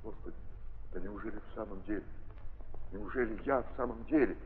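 An actor playing a remorseful, self-accusing nobleman in an old radio drama, halting and repeating his words in anguish. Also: on the low side, slight background noise, natural voice. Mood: horrified self-reproach. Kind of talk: 1930s radio theatre acting. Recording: muffled husky 1930s recording, long hall reverb.

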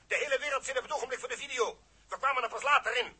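A frantic, insistent bearer of big news in a sixties radio serial. His voice is piercingly bright and very high, rushing along urgently over a faintly noisy old recording. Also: heavily acted. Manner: breathless urgency, exclaiming, insistent. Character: excited, urgent man bringing astonishing news. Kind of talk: 1960s radio drama acting.

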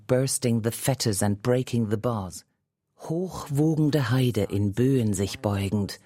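Slow-paced man reciting poetry. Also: mid-range voice, a little mellow, dry recording. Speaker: poetry reader.

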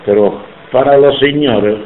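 A man's voice: medium pitch, unhurried, roomy sound, narrow, tinny audio, a little hiss or hum. A man in his seventies from Sicily, speaking Spanish with an Italian accent in an oral history interview, emphatic and indignant. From Sicily, Italy. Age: 70s.

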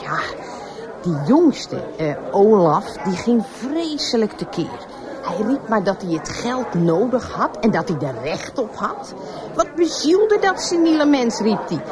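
A gossipy café landlady in a radio drama. Her voice is lively and swings up and down as she tells things excitedly in everyday speech. A clear hubbub sounds behind her. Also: on the high side, unhurried, crowd noise. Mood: gossipy, animated. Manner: colloquial, excitable storytelling, mimicking others.

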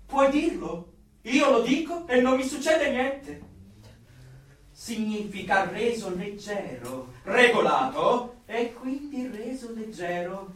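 Radio drama: fanatical party ideologue, high and animated, declamatory, prone to exalted outbursts. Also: male voice, slow pace, far from the mic, full character voice. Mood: cold, pedantic. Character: fanatical, grandiose party intellectual compiling a dystopian dictionary. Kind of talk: theatrical radio drama acting. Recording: very echoey room, off the mic.

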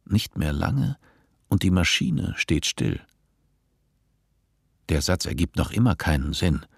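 A man narrating a scripted radio play in a measured, literary manner. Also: sits low, big pitch swings, gravelly, slightly rough, no room sound, studio quality, exaggerated voice.

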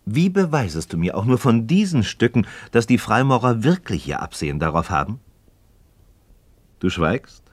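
Very deep-voiced, inquisitive skeptic. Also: male voice, big pitch swings, slightly rough, dry recording. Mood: probing, skeptical. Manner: questioning, deliberate, rhetorical. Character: inquisitive, skeptical male friend, probing but courteous. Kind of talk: scripted philosophical dialogue in German radio drama.

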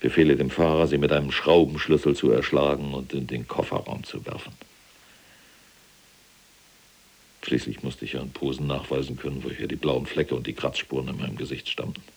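Imprisoned SS general, cold and self-righteous,, extremely low gravelly voice, deliberate radio drama delivery. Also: male voice, level tone, a little mellow, heavily acted. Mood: agitated, disgusted. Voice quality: very deep, gravelly, husky. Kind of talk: German radio play acting, monologue.

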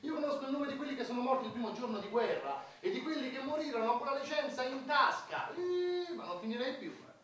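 An actress playing a bitter, outspoken clerk of the war dead, emphatic and complaining in a theatrical radio drama style. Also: female voice, high voice, lively intonation, quick pace, few pauses, a bit bright. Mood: exasperated. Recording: distant echoey room, clearly noisy.

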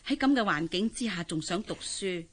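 An actress playing a kindly older aunt in a radio drama, chatty and persuasive, speaking with a Hong Kong Cantonese accent. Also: female voice, lowish voice, expressive, brisk, fairly bright tone. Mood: coaxing, reassuring. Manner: coaxing, chatty, with soothing interjections.

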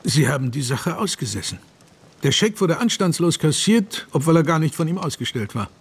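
An actor playing a thoughtful, inquiring gentleman in a radio play, measured and courteous. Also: on the high side, big pitch swings, husky, full character voice. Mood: intrigued. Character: thoughtful, inquiring gentleman telling a strange tale. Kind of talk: radio drama acting. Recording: faint noise and ambience behind.

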